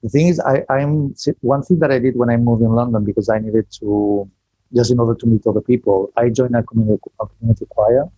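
Guy from spain, english, low mellow voice, a bit husky, dull dry call audio. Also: fairly even intonation, no echo. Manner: chatty, self-deprecating, halting restarts. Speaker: guest. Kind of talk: casual online interview.

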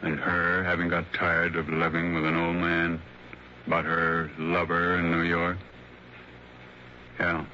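An actor playing a sad, resigned old blind man in a 1960s radio drama, with slow, halting delivery. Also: deep voice, monotone, bright, forceful tone, muffled recording, exaggerated voice. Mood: sad resignation. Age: older. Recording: noisy, band-limited old radio recording.

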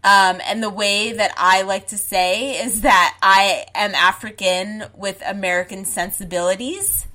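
A young woman from Zimbabwe talks in a casual chat at a mid pitch. She speaks frankly and with emphasis, leaning on "like" as she lines up her points. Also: fairly even intonation, measured pace, few pauses, sharp tone, low-quality recording. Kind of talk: casual conversation.

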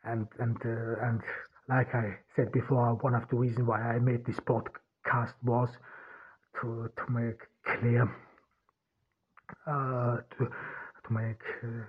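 Halting, husky man, native German speaker. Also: medium pitch, flat delivery. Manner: halting, many restarts and repeated words. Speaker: podcast host. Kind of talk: solo podcast monologue. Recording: tinny phone-like sound.

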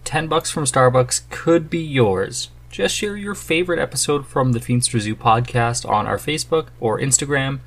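A man's voice: mid-range voice, normal speed, hardly any pauses. A man hosting a podcast in a casual, conversational style. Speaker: podcast host.

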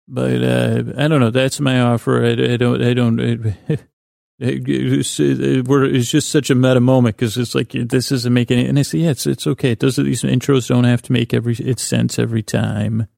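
A man hosting a bedtime podcast in a creaky, lulling voice, meandering gently and haltingly through a soothing monologue and often interrupting himself. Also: mid-range voice, little pitch movement, no echo, studio quality. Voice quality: creaky, gravelly. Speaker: podcast host.

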